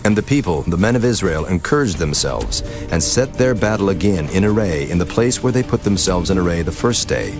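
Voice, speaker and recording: Deep-voiced male scripture narrator. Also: lively intonation. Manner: solemn, measured. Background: music.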